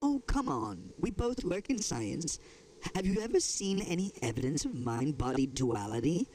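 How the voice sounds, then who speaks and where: Lively, skeptical male scientist, played by a man. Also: a little high, normal speed, a little hiss or hum, rough recording. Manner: questioning, challenging, conversational. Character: skeptical, probing scientist colleague. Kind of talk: audio drama dialogue.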